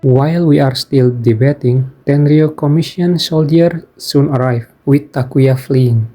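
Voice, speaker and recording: A man recaps a game's story as narrator in a soft-edged, mellow voice at a middling pitch. His phrasing sounds non-native. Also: steady tone, measured pace, soft background music. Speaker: video game player and narrator.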